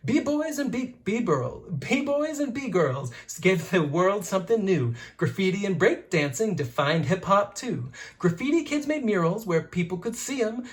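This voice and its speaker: A teacher reading a rhyming children's book aloud in a rhythmic, expressive way. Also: mid-range voice.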